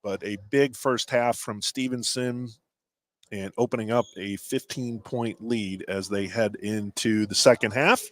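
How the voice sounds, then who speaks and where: A man's voice: lowish voice, steady tone, a little slow, a bit bright. A man hosting a live sports broadcast with halftime commentary.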